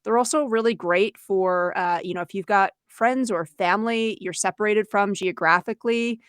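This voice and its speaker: A woman's voice: mid-range voice, normal speed, clear, resonant tone, no echo, clean studio sound. A woman co-hosting a webinar for teachers, explaining conversationally and voicing imagined quotes as she goes. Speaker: webinar co-host, educator.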